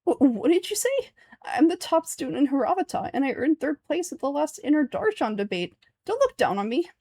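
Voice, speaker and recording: Woman voicing proud young student, flustered, earnest, stammering, slightly high, game dialogue, background music. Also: very animated, brisk, dark tone, dry recording. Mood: offended.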